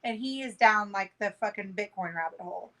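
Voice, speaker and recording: A woman's voice: mid-range voice, brisk, a bit bright. A brash, emphatic woman telling things in a casual group chat over a video call, swearing freely. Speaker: panel guest.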